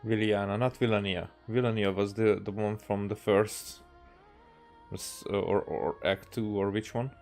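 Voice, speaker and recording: A man from Hungary with a Hungarian accent, giving casual gameplay commentary as a streamer, musing aloud in a halting way. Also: lowish voice, steady tone, a little mellow, soft background music. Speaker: game streamer.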